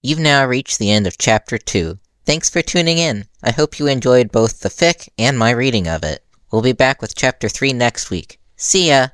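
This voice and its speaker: A man's voice: medium pitch, lively intonation, normal speed, hardly any pauses, a bit bright, no echo. A man reading his own fan fiction aloud as a narrated story. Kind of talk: narrated storytelling with character voices.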